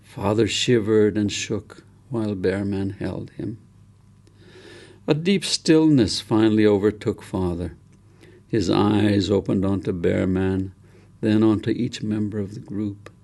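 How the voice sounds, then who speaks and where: A man reading his own novel aloud as literary narration, solemn and reverent, with deliberate phrasing and dramatic pauses. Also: fairly low pitch, vocal fry, a little mellow.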